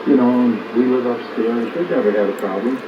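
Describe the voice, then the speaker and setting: A man's voice: medium pitch, fairly even intonation, brisk, reverberant, muffled recording, lightly acted. A man voicing an easygoing elderly male deli owner who talks in a mild, unbothered way, heard over a noisy shortwave broadcast.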